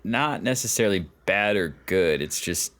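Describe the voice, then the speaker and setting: A man's voice: low-pitched, lively intonation, moderate pace, a little hiss or hum. A man co-hosting a podcast, talking in a casual, chatty style. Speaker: podcast co-host. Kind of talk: casual chat. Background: loud music behind the voice.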